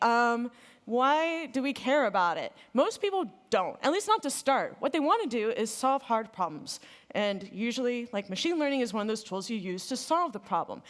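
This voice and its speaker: Casual American woman giving a tech talk. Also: on the high side. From United States. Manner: casual, enthusiastic, filler-heavy. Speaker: conference speaker. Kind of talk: technical conference talk. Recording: reverberant hall.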